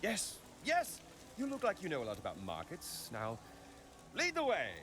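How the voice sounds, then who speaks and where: An actor playing a roguish young scoundrel in a video game, a glib, theatrical and wheedling smooth talker. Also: male voice, on the high side, wildly swooping pitch, moderate pace, a bit bright, a little hiss or hum. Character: roguish young male scoundrel, flippant smooth-talking charmer.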